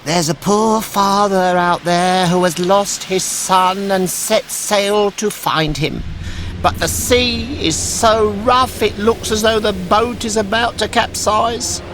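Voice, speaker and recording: A man's voice: sits high, steady tone, slow, background noise, voice pushed up. A man voicing an alarmed old woman in a storybook. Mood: worried. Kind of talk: storybook character voice.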